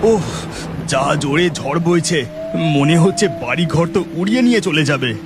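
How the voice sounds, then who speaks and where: A woman's voice: low voice, animated, normal speed, pitched below the performer's own voice. A woman voicing a caring young husband who talks in an earnest, pleading way in a cartoon. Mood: worried. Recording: music bed behind the voice.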